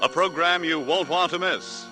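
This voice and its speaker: A male American announcer from vintage network radio. His delivery is formal and scripted, with a very sharp, forceful edge. The recording carries a long reverberant tail. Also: a little high, normal speed, soft background music.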